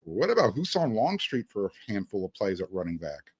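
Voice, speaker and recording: A man's voice: fairly low pitch, very animated, a little fast, slightly rough, no room sound. A man hosting a sports podcast, talking casually and reading sponsor spots. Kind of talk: casual sports talk and ad reads.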